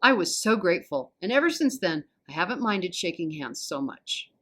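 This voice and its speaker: Expressive American woman reading aloud. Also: on the low side, normal speed. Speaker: volunteer storybook reader. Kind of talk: expressive read-aloud narration of a children's novel.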